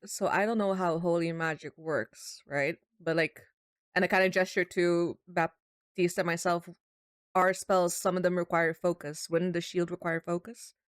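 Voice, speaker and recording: A woman's voice: on the low side, steady tone, normal speed, clear, resonant tone, dry recording, clean studio sound. A woman chatting casually as a player in a tabletop roleplaying game, moving between speaking for her character and table talk.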